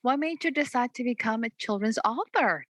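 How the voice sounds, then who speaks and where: An upbeat woman hosts an interview with an author. Her voice is bright and slightly high, and the recording is dry with no room echo. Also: animated, fairly quick. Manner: upbeat, enthusiastic. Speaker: interview host.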